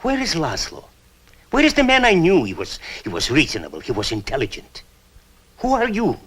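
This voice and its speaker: A man's voice: a little high, wildly swooping pitch, normal speed, a bit bright, husky, full character voice. An older actor playing an elderly community elder who pleads earnestly and fatherly with an old friend. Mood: stern. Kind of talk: 1960s TV crime drama acting.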